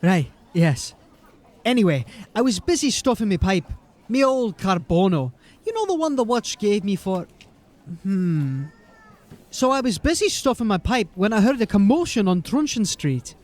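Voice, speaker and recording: A man's voice: high voice, sing-song, normal speed, darkish tone. A man playing a folksy, rambling, deferential corporal of a fantasy city watch in an audio drama. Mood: rambling, excited. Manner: folksy, rambling, eager to please.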